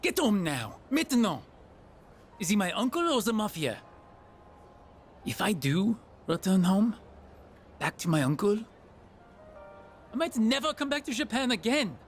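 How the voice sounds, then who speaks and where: High-voiced, earnest French student. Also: male voice, very animated, a little slow, long pauses, soft background music. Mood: stern imitation. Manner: formal, careful phrasing. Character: earnest, polite French male exchange student, worried. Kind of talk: video game voice acting.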